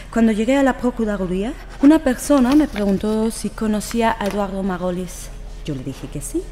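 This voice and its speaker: An actress playing a mistreated young French prisoner who gives earnest, measured testimony in an audio drama. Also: female voice, mid-range voice, warm tone, a little hiss or hum, natural voice.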